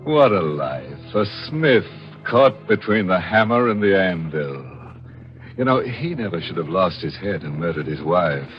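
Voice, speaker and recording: Slow, very deep macabre horror host. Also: male voice, very animated, faint music behind. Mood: gleeful, macabre. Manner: mock-sinister, drawn-out, chuckling. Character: gleefully macabre, punning male horror host. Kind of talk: 1940s horror radio hosting. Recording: dull vintage 1940s radio recording.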